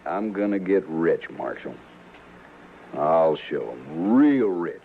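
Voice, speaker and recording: A man's voice: rumbling low, animated, slow pace, gravelly, exaggerated voice. An actor playing a cowardly, boastful small-time gunman in a radio western, with a rural American West accent and a petulant, defensive manner. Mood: defiant, boastful. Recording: narrow-band old radio recording, faint noise.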